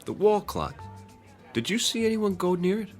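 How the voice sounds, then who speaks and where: A man's voice: sits high, sing-song, normal speed, darkish tone, soft background music. An actor playing a skeptical, stubborn, wisecracking man in a radio drama, with a casual, blunt way of talking. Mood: agitated suspicion.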